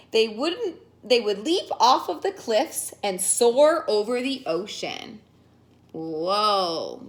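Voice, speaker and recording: Female preschool-style storyteller, playful, very animated high pitch, slow delivery. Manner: playful, simple explanations and questions to children. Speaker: children's teacher and story reader. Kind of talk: storybook read-aloud for young children.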